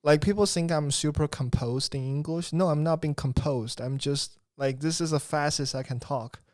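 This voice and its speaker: A man's voice: a little high, moderate pace, darkish tone, no room sound. Young guy hosting a podcast, rambly and casual, dry close sound. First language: Chinese. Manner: loose, rambling, swears casually. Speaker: podcast host. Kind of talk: casual chat.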